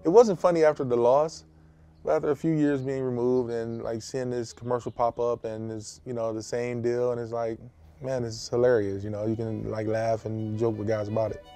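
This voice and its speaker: Mellow-voiced man talking casually. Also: mid-range voice, little pitch movement, moderate pace. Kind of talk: casual interview talk. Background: music.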